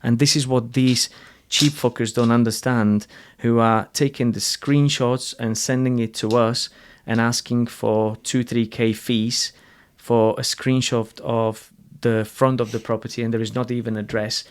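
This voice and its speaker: A podcast host speaks English with a Slovak accent at a mid pitch. He talks bluntly and earnestly, often restarting his phrases, and he is not shy about swearing. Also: little pitch movement, measured pace, few pauses. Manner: blunt and earnest, frequent restarts, salty language. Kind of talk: casual interview conversation.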